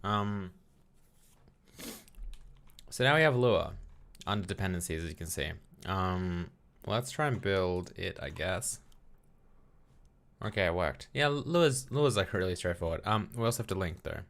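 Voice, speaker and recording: Young male streamer, low-pitched, relaxed and rambling, self-deprecating with chat, keyboard typing audible. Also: measured pace, long pauses. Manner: mumbling, trailing off while thinking aloud. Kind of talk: casual live-stream chat while coding. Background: keyboard typing and clicks.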